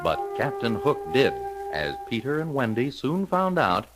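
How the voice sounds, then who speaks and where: A man's voice: mid-range voice, wildly swooping pitch, unhurried, over loud music. A man narrating a children's adventure story in a dramatic scripted style.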